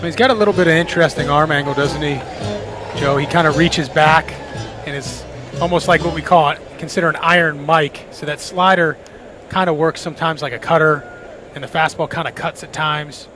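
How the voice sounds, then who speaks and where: A man's voice: on the high side, fairly even intonation, fairly quick, music in the background. A man giving conversational analysis as the color commentator on a live baseball radio broadcast. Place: ballpark broadcast booth.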